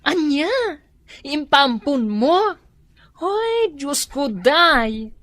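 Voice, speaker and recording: A reproachful Filipino neighbor woman in a radio drama. Her pitch swoops dramatically while the pace stays slow and drawn out. She scolds and exclaims, utterly scandalized. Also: sing-song, fairly bright tone, no room sound, heavily acted. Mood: shocked, reproachful.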